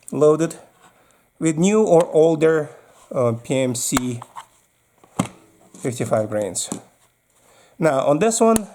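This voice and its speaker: A man's voice: medium pitch, darkish tone. A man with a Russian accent giving practical, casual instructions as a video host, explaining while handling his gear.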